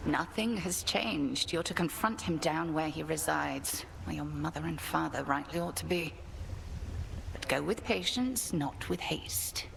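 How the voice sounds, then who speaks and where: Game mentor, wise witch headmistress: very low, heavily creaky voice, formal and grave. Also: unhurried, fairly bright tone, slight background noise, full character voice. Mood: grave, reassuring.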